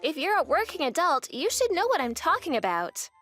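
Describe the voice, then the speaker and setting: A woman's voice: very high-pitched, big pitch swings, a bit bright, soft background music, voice pushed up, heavily acted. A woman doing a bossy, lecturing sister-in-law. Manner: brisk and lecturing.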